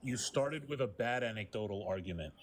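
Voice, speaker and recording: A man's voice: lowish voice, lively intonation, a little fast, a little hiss or hum. A man co-hosting a heated debate, combative and mocking, who keeps repeating his challenges.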